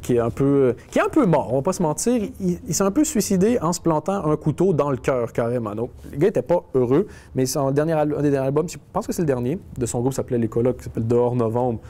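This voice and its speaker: Wry man with a mellow voice. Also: medium pitch, lively intonation, quick pace, hardly any pauses. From Quebec. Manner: conversational, wry, restarts often. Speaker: interviewee. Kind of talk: casual interview.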